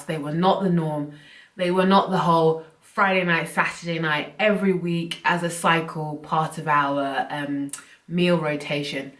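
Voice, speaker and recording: Croaky young woman vlogging. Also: low-pitched, fairly bright tone. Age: young adult. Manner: chatty, earnest, loose run-on phrasing. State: croaky, short of breath from illness. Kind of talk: casual talk to camera. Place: room at home. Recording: roomy, from a distance.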